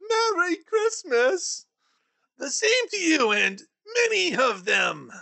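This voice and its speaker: A man's voice: very high voice, sing-song, takes it slowly, gravelly, full character voice. A man voicing a cheerful, earnest young nephew in a storybook reading, pleading and emphatic. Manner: warm-hearted, pleading and emphatic.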